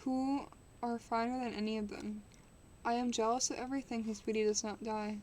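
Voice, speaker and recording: A woman's voice: a little high, little pitch movement, fairly quick, darkish tone, a little hiss or hum, lightly acted. Dorian Gray, a woman reading a vain, brooding young man. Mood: jealous. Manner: reads with small stumbles and restarts. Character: young man, vain, anxious about aging.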